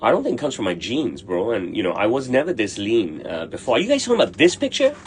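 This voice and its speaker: A man's voice: mid-range voice, wildly swooping pitch, fast, a little hiss or hum. A middle-aged man, a tailor, answering viewer questions in a blunt, brash way and swearing freely. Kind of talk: casual livestream Q&A.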